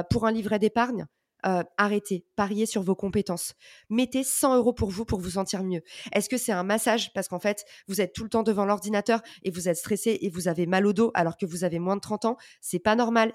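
A French-speaking woman giving energetic, informal advice in an interview, with many fillers and slang. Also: medium pitch, no echo, clean studio sound. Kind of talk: casual motivational advice.

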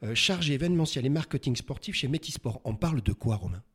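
A man's voice: mid-range voice, lively intonation, fast, very noisy. A man hosting a casual interview, chatty, with many repeated words and fillers. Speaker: host. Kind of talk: casual interview, warm banter.

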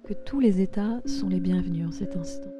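A woman guides a meditation in a fairly low voice. Her delivery is soft and soothing, unhurried, with space left between phrases. Music plays clearly behind her. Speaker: yoga nidra guide.

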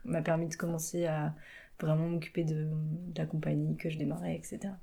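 A woman from France chatting in an interview, halting and full of fillers. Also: low voice, level tone, gravelly, warm tone. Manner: halting, lots of fillers and restarts. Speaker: guest, theatre performer. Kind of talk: casual interview chat.

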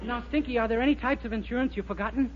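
Eager innocent young store clerk, really high voice, old radio comedy, super noisy muffled recording. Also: male voice, very fast, over a noisy crowd. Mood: breezy, confident. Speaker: comic actor. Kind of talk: 1940s radio sitcom acting.

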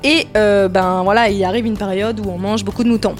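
A woman's voice: medium pitch, steady tone, fairly quick, faint music behind. A woman co-hosting a radio show, telling things informally with wry humour. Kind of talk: casual radio chat. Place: radio studio.

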